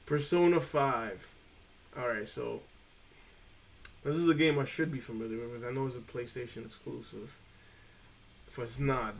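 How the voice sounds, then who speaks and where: Dude streaming, gravelly voice, chatty and rambly, keeps saying freaking. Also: male voice, mid-range voice, slight background noise, poor audio. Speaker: streamer reviewing trophy lists. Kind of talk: casual live-stream chat.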